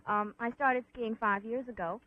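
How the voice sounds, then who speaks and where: A woman's voice: on the high side, fairly even intonation, normal speed. A young woman with a faint English accent, giving modest, slightly hesitant answers in a casual interview. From England and Canada. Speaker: pageant queen and ski instructor. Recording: tinny 1960s film audio.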